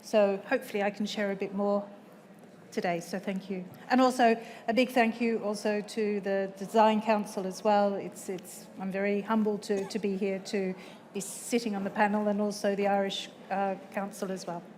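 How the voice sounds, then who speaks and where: An Australian woman introducing herself on a conference panel, speaking haltingly and correcting herself. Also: medium pitch, flat delivery, darkish tone. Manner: halting, self-correcting speech. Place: conference hall. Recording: long hall reverb.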